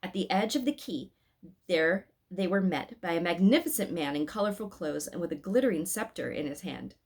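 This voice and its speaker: A woman's voice: on the low side, animated, normal speed, breathy edge. A woman reading a story aloud as a scripted narration. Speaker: reader of an Advent story.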